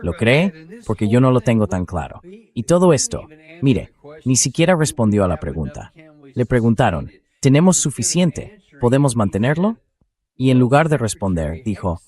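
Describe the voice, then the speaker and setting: A man's voice: medium pitch, darkish tone. A man hosting a political discussion, speaking in an emphatic, sceptical way with pointed rhetorical questions. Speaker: talk show host and political commentator.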